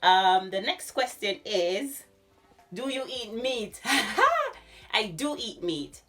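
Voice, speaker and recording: A woman in her thirties with a Jamaican accent, chatting casually to camera in an animated way as she answers questions. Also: on the high side, very slow, soft background music. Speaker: YouTube vlogger. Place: small furnished room at home.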